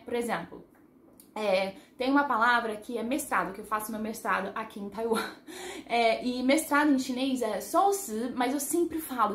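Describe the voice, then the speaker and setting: A woman's voice: on the high side, fairly bright tone, reverberant. A woman giving a casual language lesson to her channel's viewers, chatty and rambling, often correcting herself and laughing at her own slips. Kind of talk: casual explanatory lesson to camera. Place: living in Taiwan.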